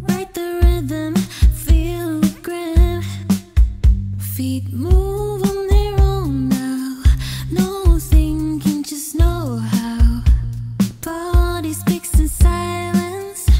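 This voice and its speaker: A woman singing a pop song in a drawn-out, melodic delivery. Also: very high voice. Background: loud pop music backing.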